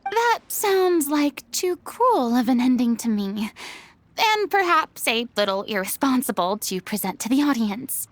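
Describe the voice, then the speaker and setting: A woman's voice: high-pitched, big pitch swings, measured pace, dry recording. A woman voicing a gracious young artistic consultant who speaks politely and formally. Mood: earnest. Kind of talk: game voice acting.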